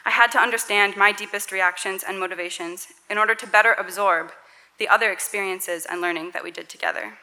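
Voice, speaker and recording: A woman's voice: mid-range voice, sharp tone. A woman giving a sincere, grateful graduation speech to her church community.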